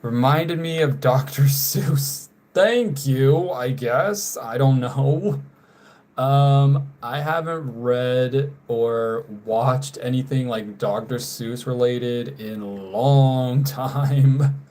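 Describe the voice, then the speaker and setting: Young male streamer from North Carolina, unhurried, laid-back chat, drifts quieter at the ends of phrases, apologetic. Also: medium pitch. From North Carolina, USA. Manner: slow, trailing off quietly, apologetic about names. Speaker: live streamer. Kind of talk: casual live stream chat while drawing.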